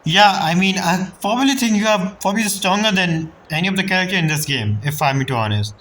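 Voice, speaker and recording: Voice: mid-range voice, fast talker, a bit bright, slight background noise, poor audio. A game streamer casually reacting to the story and reading dialogue choices aloud. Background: game music.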